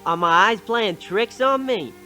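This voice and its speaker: An actor playing a shady, suspicious dealer in scavenged goods in a radio play, theatrical and mock-archaic. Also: male voice, high-pitched, lively intonation, measured pace, pushed and bright, faint music behind, heavily acted. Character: shady male fence buying scavenged goods, gruff and suspicious.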